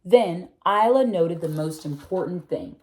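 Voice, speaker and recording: A woman reading a children's picture book aloud, expressive, often slowing and stretching her phrases. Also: lowish voice, a little hiss or hum. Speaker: read-aloud narrator.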